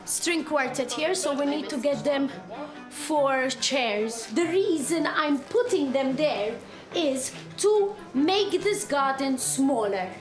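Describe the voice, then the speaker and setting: A woman's voice: high-pitched, animated, slow pace. A woman event planner talking casually and directly as she explains her work. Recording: roomy sound with music behind.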